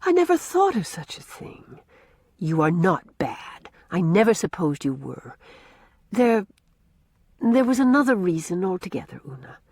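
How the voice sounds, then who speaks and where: A woman reader voicing a gentle, tender young woman who speaks softly to comfort a child. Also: lowish voice, big pitch swings, measured pace, no room sound, studio quality, full character voice. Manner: soft, gentle.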